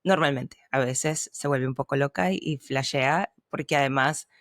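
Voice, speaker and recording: A woman co-hosting a podcast, casually explaining things with a Spanish accent mixed with Argentine, pausing and restarting as she talks. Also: very deep voice, fairly bright tone, no room sound, studio quality. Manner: hesitant, restarts and fillers while explaining. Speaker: podcast co-host, language teacher. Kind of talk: casual conversational chat.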